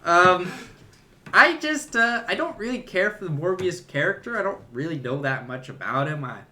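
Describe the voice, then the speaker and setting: Bright-voiced man chatting on a podcast. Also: high voice, lively intonation, normal speed, slight background noise. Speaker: podcast co-host. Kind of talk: casual movie discussion.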